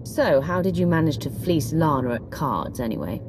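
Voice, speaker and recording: An actress playing a composed, commanding female dark warrior in a video game, speaking in a measured, dry, terse way. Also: deep voice, sing-song, noisy background. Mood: cool, appraising. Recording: music behind the voice.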